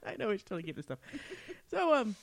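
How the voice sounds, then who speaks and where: A woman's voice: mid-range voice, sing-song, rapid-fire, creaky. A woman co-hosting a podcast, chatting casually through a TV recap and often laughing as she talks. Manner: giggly, frequently laughing while talking. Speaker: podcast co-host. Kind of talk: casual TV episode recap chat.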